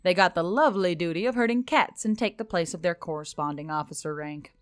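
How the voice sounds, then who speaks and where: A woman playing a female military officer giving a matter-of-fact, measured briefing in an audio story. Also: fairly low pitch, clean tone, no room sound, clean studio sound, natural voice. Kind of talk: scripted audio story dialogue.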